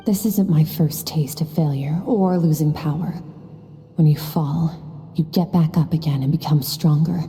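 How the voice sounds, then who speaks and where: Low, dark-voiced stoic swordswoman. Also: measured pace. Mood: stoic, resolute. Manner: flat, terse, resolute. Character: stoic, aloof young swordswoman, hardened and resolute. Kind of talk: video game story voice acting. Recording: reverberant game audio with music.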